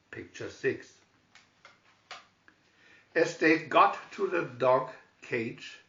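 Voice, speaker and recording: A man reading a scripted children's story in a deliberate manner, announcing pictures as a narrator. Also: medium pitch, fairly bright tone. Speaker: storybook reader. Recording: muffled, echoey room recording.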